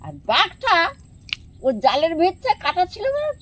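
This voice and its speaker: Older woman, rural southern Bengal accent, high pitch, very lively intonation, colloquial storyteller, casual interview. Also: normal speed. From Sundarbans, India. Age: older, grandmother. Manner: animated, colloquial storyteller who acts out dialogue. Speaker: interviewee, villager who fishes and catches crabs. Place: outdoors by a riverbank village.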